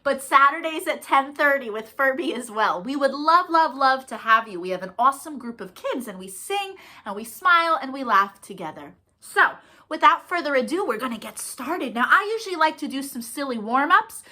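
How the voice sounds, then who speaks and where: Bright, high-pitched woman hosting children's storytime. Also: lively intonation, brisk. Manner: playful, encouraging, talks directly to young children. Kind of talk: children's storytime read-aloud with warm-ups.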